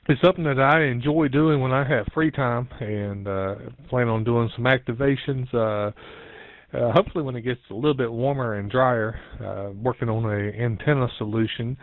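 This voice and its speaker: A man giving a casual, halting, meandering update as an amateur radio operator on a net. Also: medium pitch, no room sound. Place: home office. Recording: dull narrowband internet radio link.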